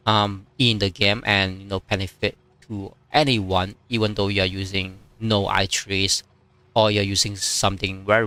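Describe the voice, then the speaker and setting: A man whose first language is Chinese, streaming a strategy game and chatting casually, with many fillers and pauses as he reads viewer comments. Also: lowish voice, a bit bright, no echo. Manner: halting, many fillers, reads chat aloud.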